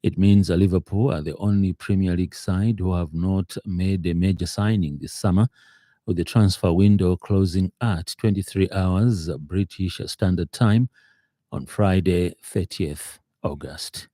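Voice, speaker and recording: A man reads a formal radio news bulletin in a measured, slightly halting way. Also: deep voice, fairly even intonation, dark tone, dry recording, clean studio sound. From Zambia. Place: radio studio.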